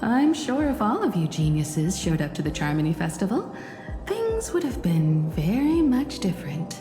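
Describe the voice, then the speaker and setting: An actress playing a thoughtful, polite young explorer who asks inquisitive questions. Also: female voice, mid-range voice, big pitch swings, moderate pace, natural voice. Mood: curious. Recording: roomy reverb with game music.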